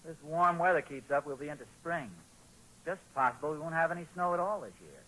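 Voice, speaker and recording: A well-meaning 1940s radio father, fairly high and bright in voice. He swings up and down as he hedges and backpedals, homespun and flustered. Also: animated, fast talker, poor audio. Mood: casual, doubtful. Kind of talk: 1940s radio comedy-drama acting. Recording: 1940s radio broadcast recording.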